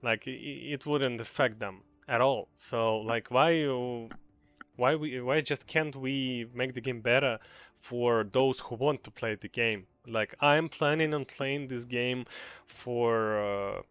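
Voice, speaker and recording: A man's voice: mid-range voice, takes it slowly, fairly bright tone, clear, resonant tone, no echo, soft background music. A young man with a Ukrainian accent giving a casual, earnest gaming commentary, hesitant with many fillers and restarts. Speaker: gaming video creator.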